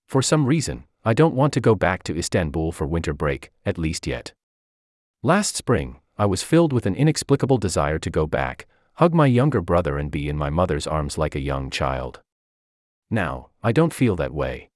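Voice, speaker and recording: A man reading a personal essay aloud in a measured, reflective way. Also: low-pitched, sing-song, dry recording, clean studio sound. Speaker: article reader.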